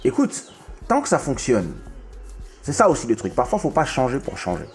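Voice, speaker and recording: A man's voice: medium pitch, huge pitch swings, moderate pace, faint music behind. A man from Congo hosting a casual chat, talkative and often stumbling and restarting his sentences. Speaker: podcast host.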